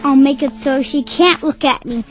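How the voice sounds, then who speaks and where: A woman's voice: high-pitched, moderate pace, loud music behind the voice, lightly acted. A woman playing a sullen, menacing six-year-old boy in a radio drama, petulant and threatening. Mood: cold menace.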